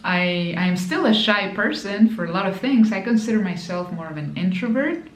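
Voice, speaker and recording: A woman's voice: mid-range voice, expressive, moderate pace, roomy sound, soft background music. A woman with a Spanish accent, Spanish her first language, giving an emphatic motivational talk to camera as a business mentor, repeating key phrases and addressing the viewer directly.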